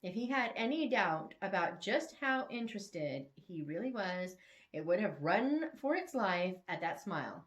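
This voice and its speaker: Woman reading a story aloud with lively pitch. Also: unhurried. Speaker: author reading own fiction aloud. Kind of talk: expressive read-aloud storytelling.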